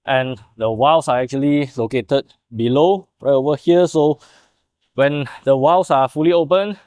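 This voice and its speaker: A man's voice: mid-range voice, measured pace, darkish tone, clean tone, dry recording. A young man explaining and demonstrating in the style of a car product showcase. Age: young adult. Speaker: presenter of a car workshop video.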